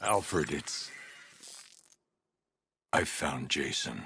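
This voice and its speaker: An actor playing a weary, grim male vigilante hero in a video game, speaking gravely and haltingly. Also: very deep voice, expressive, sharp tone, exaggerated voice. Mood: somber. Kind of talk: dramatic video game acting.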